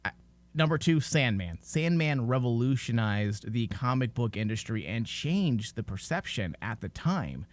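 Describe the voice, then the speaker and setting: A man's voice: medium pitch, very animated, a little slow. A man hosting a podcast, talking informally and giving his opinions in a casual discussion. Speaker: podcast host.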